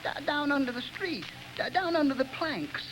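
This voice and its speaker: A man's voice: extremely high pitch, very animated, normal speed, music in the background, rough recording. An actor playing an unhinged, giggling male killer who stammers and talks in crude grammar, in a 1930s radio drama. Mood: nervous.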